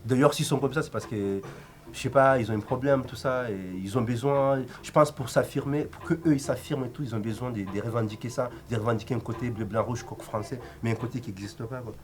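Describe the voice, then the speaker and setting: A man with a medium-pitched voice explains his view in casual speech. He repeats phrases and ends them on little tag words. Faint music runs behind him. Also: a little fast. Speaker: street interviewee. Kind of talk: casual, slangy interview talk.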